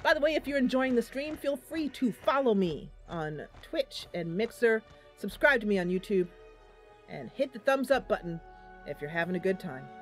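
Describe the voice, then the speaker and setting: A woman's voice: medium pitch, very animated, normal speed, soft background music. A woman streaming a game live, chatting casually and affectionately with her viewers.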